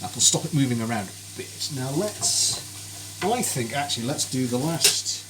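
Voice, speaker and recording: A man's voice: mid-range voice, expressive, measured pace. Chatty man cooking live. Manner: chatty, genteel and cheerful, greeting viewers by name. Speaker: cooking streamer. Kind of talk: live cooking stream chat. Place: home kitchen. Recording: kitchen noise with sizzling frying.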